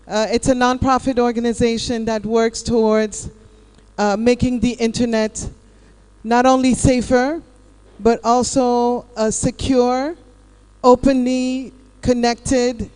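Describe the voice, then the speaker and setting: A man introducing himself and his nonprofit at a live event, measured and pausing often. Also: extremely high pitch, darkish tone, clean tone. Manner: slow, measured, with frequent "uh" pauses. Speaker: nonprofit representative. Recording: long reverb in a hall.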